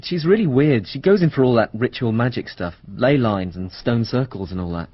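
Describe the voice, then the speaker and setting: Wary, gossipy student lad, husky. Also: male voice, mid-range voice, lively intonation, moderate pace, dry recording. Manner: informal, offhand, slangy. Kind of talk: radio mystery drama acting. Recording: dull, lo-fi radio drama recording.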